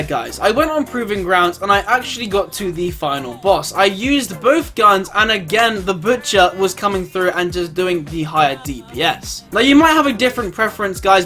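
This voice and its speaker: Guy with a pretty high bright voice, chatty game review for his viewers, music behind him. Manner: informal, addresses viewers as guys. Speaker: video game reviewer and host. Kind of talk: gameplay review commentary.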